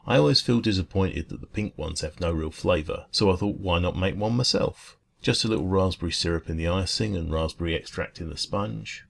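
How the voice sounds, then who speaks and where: A man voicing a friendly, food-loving dragon who bakes at a café, chatting gently and earnestly in a rambling ASMR roleplay. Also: deep voice, fairly quick, vocal fry, few pauses. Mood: chatty, easygoing. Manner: gentle, rambling, hesitant, earnest enthusiasm about baking. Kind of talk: ASMR roleplay, soft casual chat.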